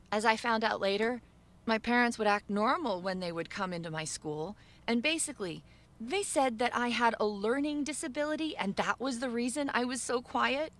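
A woman giving measured, subdued first-person narration for an animated story video. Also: on the high side.

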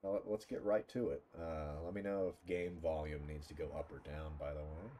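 A man's voice: rumbling low, steady tone, fairly quick, warm tone, faint music behind. A man chatting casually on a livestream in a playful, teasing manner, close on the mic. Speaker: streamer and voice actor.

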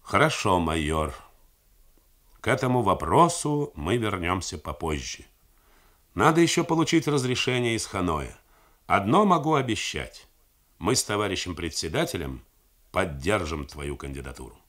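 A man voicing a calm, fatherly older army commander who speaks deliberately. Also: sits low, heavily acted. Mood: approving.

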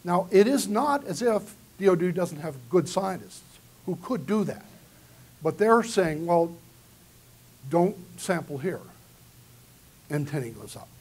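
Husky man giving an emphatic keynote. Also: sits high, sing-song, unhurried, pauses often, a little mellow. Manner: earnest, emphatic lecturing. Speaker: keynote speaker. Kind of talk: conference keynote with audience questions. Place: large conference hall with round tables. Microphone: far camera in the audience.

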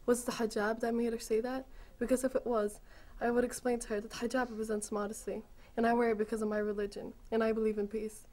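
A woman's voice: on the high side, flat delivery, quick pace, hardly any pauses, mellow. A teenage girl giving an earnest, composed personal testimony. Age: teens. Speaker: student.

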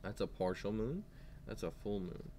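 Animated man reading aloud to young children. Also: on the low side, moderate pace, slight background noise. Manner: animated storytelling with simple questions to children. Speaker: kindergarten teacher.

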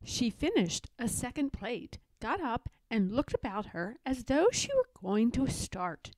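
A woman reading a children's story aloud in an expressive, deliberate storytelling manner. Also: on the high side, dry recording, poor audio. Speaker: storybook reader. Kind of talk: children's read-aloud narration.

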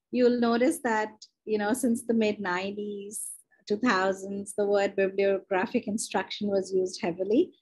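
A woman's voice: medium pitch, rough recording. A young adult woman from India, a university librarian, presenting a webinar over a video call in a conversational way, with many fillers and self-corrections.

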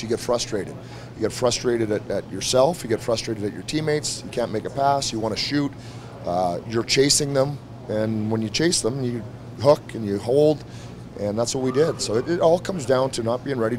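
A middle-aged man, a head coach, answering reporters bluntly after a game, repeating his points. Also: mid-range voice. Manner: blunt, frank, repeats himself. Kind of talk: postgame press conference. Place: arena press room before a team backdrop. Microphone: several handheld mics held close.

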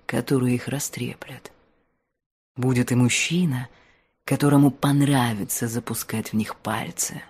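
A woman voicing a mysterious wealthy temptress in an audiobook, speaking in a hushed, seductive, insinuating murmur. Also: a bass voice, slightly rough, pitched below the performer's own voice, exaggerated voice.

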